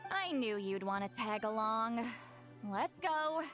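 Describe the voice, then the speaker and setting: Young warrior heroine, game dialogue, fairly high voice, brisk, confident, direct, music underneath. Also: female voice, expressive, fairly bright tone. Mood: assured, friendly. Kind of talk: video game voice acting.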